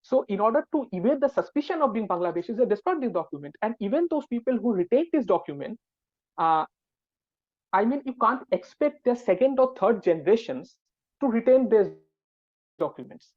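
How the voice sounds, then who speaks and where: A man's voice: sits high, big pitch swings, brisk, no echo. A man, an academic researcher on a webinar panel, explaining a topic step by step with frequent hesitations. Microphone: video call. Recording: dull, dry video call audio.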